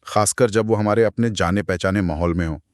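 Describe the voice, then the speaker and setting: A man co-hosting a podcast, retelling a horror story in a dramatic, suspenseful way with pauses. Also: on the low side, no room sound, clean studio sound. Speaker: podcast co-host.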